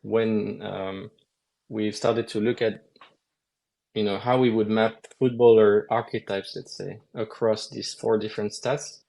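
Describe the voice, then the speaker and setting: A man, a lead game designer, explaining game mechanics at a measured pace with frequent hesitations in a live Q&A. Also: lowish voice, flat delivery, creaky, darkish tone.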